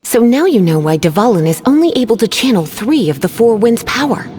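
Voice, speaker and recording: A woman's voice: on the high side, big pitch swings, a little fast, noisy background. An actress voicing a languid, teasing, learned woman mage in a video game, with a knowing, theatrical delivery. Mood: grave, knowing. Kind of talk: scripted video game voice acting. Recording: game music behind the voice.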